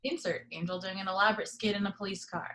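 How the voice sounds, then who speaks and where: A woman reading a screenplay's action descriptions in a plain, steady way. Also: medium pitch, fast. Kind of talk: reading a screenplay's stage directions.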